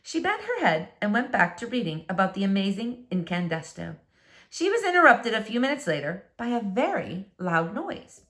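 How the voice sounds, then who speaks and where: A woman reading a children's book aloud as a storyteller, with expressive, dramatic emphasis. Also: mid-range voice, moderate pace.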